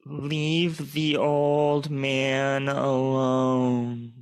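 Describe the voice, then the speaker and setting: Guy doing creepy witch girl, slow n stern, cryptic threats, bit creaky, radio play. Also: medium pitch, completely flat delivery, dry recording, pitched above the performer's own voice. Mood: menacing. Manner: slow, stern, deliberate pronouncements. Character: eerie, commanding young witch girl, cryptic and menacing.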